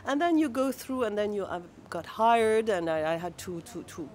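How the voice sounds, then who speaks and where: A woman's voice: mid-range voice, expressive, darkish tone, slight background noise. Woman, French accent, native French, casual chat, hesitant restarts, clip-on mic, outdoor terrace. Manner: halting, restarts mid-sentence. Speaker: guest. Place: outdoor hotel terrace at night.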